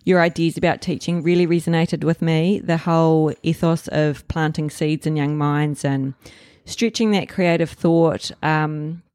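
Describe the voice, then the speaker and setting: A New woman interviewing a friend, warm and hesitant with frequent ums. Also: low voice, steady tone, unhurried, hardly any pauses, no echo. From New Zealand. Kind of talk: casual interview.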